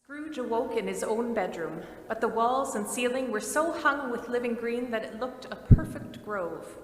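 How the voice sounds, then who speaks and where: A woman narrating a dramatic storybook reading in a measured, vivid storytelling manner, recorded in an echoey hall. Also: fairly high pitch. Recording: echoey hall, faint noise.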